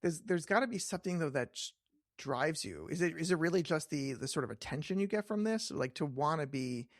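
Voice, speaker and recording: A man's voice: on the high side, animated, fairly quick, no echo. A middle-aged man hosting a podcast, interviewing casually in an enthusiastic, encouraging, chatty way.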